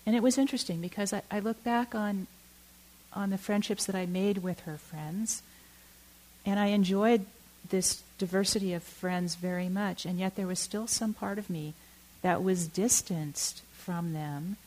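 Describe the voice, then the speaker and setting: A middle-aged woman from Oakland giving a reflective talk, halting and candid, with many fillers and self-corrections. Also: medium pitch, steady tone, a little mellow, low-quality recording. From Oakland, California. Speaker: dharma talk speaker.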